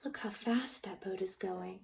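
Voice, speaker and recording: Creaky-voiced woman reading to young children. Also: mid-range voice, a little slow. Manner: expressive, gently encouraging, prompting the listeners with questions. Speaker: childcare teacher reading a picture book aloud. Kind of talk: read-aloud storytime for young children, narrating pictures and asking questions.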